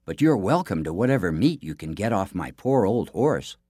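A man's voice: sits low, sing-song, normal speed, mellow, slightly rough, dry recording, clean studio sound, heavily acted. A man voicing a young, good-natured fairy-tale prince, a little hesitant but brave. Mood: hesitant, kindly.

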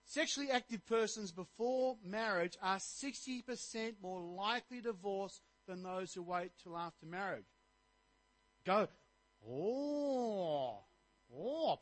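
Animated pastor preaching. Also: male voice, sits high, no echo, low-quality recording. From Australia. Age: middle-aged. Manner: animated preaching, rising to emphatic points. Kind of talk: sermon.

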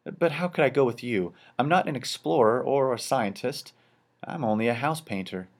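A man putting on a meek, modest house painter who talks in a raised, eager, somewhat breathless voice. He is humble and easily excited. This is storybook narration. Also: medium pitch, moderate pace, voice pushed up. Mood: humble surprised.

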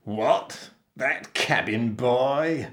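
A man's voice: medium pitch, expressive, moderate pace, fairly bright tone, close to an everyday voice. Man playing a blustering, bullying pirate captain. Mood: indignant. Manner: growling, barking, spluttering.

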